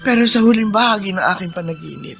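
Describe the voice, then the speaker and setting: A woman's voice: mid-range voice, lively intonation, fast, vocal fry, faint music behind. A woman playing a troubled, weary older plantation woman in a Filipino radio drama.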